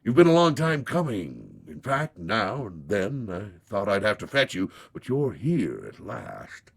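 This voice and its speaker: Man playing a slow, low, ominous old man. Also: wildly swooping pitch, gravelly, breathy edge, heavily acted. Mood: quietly ominous. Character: unhurried, ominous old scissors grinder, death-like fool killer.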